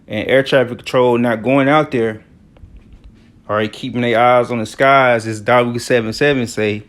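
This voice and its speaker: A man from the United States giving informal, slangy commentary on news and scripture, often punctuating his talk with filler phrases. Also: medium pitch. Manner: conversational, slangy, repeats "all right" and "you know". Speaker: religious commentator.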